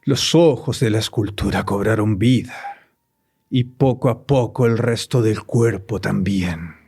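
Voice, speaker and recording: A man with a Chilean Spanish accent narrating a horror story in a slow, ominous way, breaking into sinister laughs. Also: fairly low pitch, a little mellow, breathy edge. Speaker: guest co-narrator.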